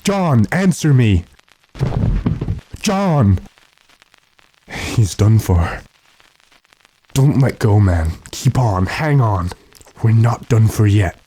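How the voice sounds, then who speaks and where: A man playing an earnest, determined gentleman who gives commands dramatically, in the manner of an old radio drama. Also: lowish voice, animated, drawn-out words, long pauses, background noise. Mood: desperate. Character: urgent, determined gentleman trapped with his manservant.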